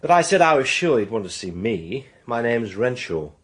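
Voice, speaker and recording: An actor playing a confident, brash and charming English gentleman sleuth in a radio drama, speaking in an articulate, assertive way. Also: mid-range voice, big pitch swings, moderate pace, slightly rough. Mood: smooth, bluffing.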